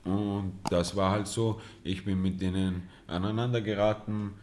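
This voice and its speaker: A man's voice: low voice, steady tone, normal speed, darkish tone. A young man from Vienna, telling his story casually as an interview guest. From Vienna, Austria. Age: young adult. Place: small indoor studio lounge. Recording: echoey room, faint noise.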